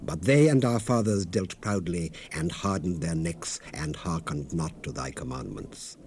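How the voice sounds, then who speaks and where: A low, mellow male voice on a faintly hissy old cassette. He reads scripture as solemn drama, grave and declamatory, with measured phrasing that rises and falls widely. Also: animated. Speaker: narrator of a dramatized Bible recording. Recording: noisy 1970s cassette rip. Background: ambient sound effects, tape noise.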